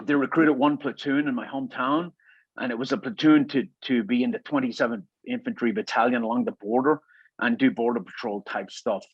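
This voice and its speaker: A man's voice: mid-range voice, no echo, muffled recording. Irish guy in his 50s, kinda american too, lots of "i, i, i" restarts, dry dullish recording. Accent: Irish with some American. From Ireland. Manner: halting, repeats words and restarts often. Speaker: guest. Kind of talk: relaxed storytelling interview.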